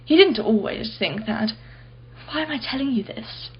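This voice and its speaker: A wealthy society woman in a radio drama, played by an actress, speaks in a fairly high voice with a clipped, imperious manner. She is blunt, brittle and guarded. The recording is somewhat dull and muffled. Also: expressive, moderate pace, breathy edge. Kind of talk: radio play acting.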